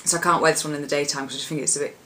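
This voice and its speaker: A young woman with a husky voice, chatting casually about her favourite products in a rambling, filler-heavy way. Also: low voice, fairly even intonation, rapid-fire, fairly bright tone. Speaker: beauty vlogger. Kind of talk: casual product chat to camera.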